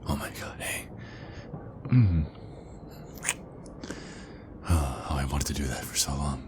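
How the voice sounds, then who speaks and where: A man playing an ardent, nervous werewolf boyfriend in an ASMR roleplay, halting and repeating himself as he pleads. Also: very deep voice, background noise. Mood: tender, earnest.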